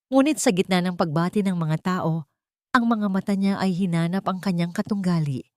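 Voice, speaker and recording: A woman's voice: fairly low pitch, a little mellow, dry recording, studio quality. A woman reading a scripted dramatic story as narrator.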